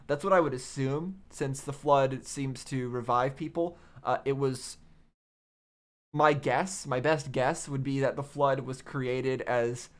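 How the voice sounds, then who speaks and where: A man hosting a game reaction channel, thinking aloud in casual commentary and repeating phrases as he reasons. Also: medium pitch.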